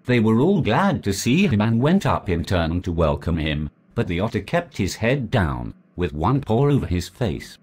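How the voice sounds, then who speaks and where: Low, husky-voiced man, expressive storyteller reading a legend. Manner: measured, deliberate storytelling. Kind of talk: reading a folk legend aloud.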